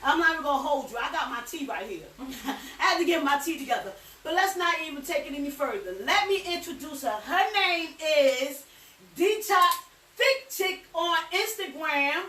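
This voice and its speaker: Loud laughing woman host, high and bright. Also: expressive, normal speed, echoey room, distant mic, a little hiss or hum. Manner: loud, laughing, swears freely. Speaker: host interviewing a business partner. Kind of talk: casual live interview. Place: indoor basement room.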